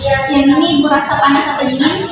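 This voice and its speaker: Young female trainee instructor, high voice, halting step-by-step delivery, recorded in a very reverberant room. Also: little pitch movement. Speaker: physiotherapy student demonstrating a treatment. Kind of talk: instructional demonstration. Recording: echoey room, distant mic, loud music. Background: loud music.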